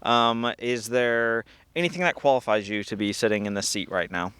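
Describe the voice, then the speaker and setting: A man's voice: mid-range voice, normal speed, fairly bright tone, clean tone, no echo. A man hosting a casual outdoor interview while flying a drone, upbeat and playful with jokes. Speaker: host. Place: outdoors at a park playground.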